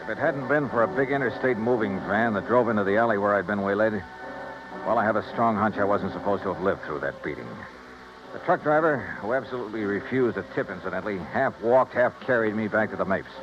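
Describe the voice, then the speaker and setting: A man's voice: fairly low pitch, a little fast, over music, natural voice. A man playing a tough, wry private investigator who talks in slangy hard-boiled style, in 1950s radio drama manner. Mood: wry narration. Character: tough, wry male private investigator narrating his case. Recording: dull, noisy vintage radio recording.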